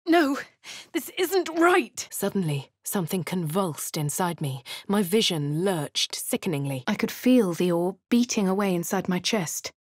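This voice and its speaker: A woman with a posh English accent speaking a game hero's lines and first-person narration in a measured way. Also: mid-range voice, very animated, dry recording, clean studio sound. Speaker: player character voice. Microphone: studio mic.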